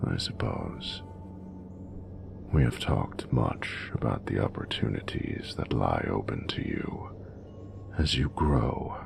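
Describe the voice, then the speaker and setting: A man voicing an ancient, regal dragon in a romantic audio roleplay, doting and flirtatious, with gravelly, breathy, often near-whispered, grandiose and formal speech. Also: extremely low pitch, noisy background, heavily acted. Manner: grandiose, formal, measured phrasing. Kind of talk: romantic audio roleplay, slow intimate monologue.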